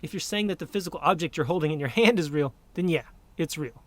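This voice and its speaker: A man's voice: fairly high pitch, fast talker, fairly bright tone, close to an everyday voice. A man playing a skeptical young man. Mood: dismissive. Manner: dismissive and impatient. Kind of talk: amateur audio drama acting.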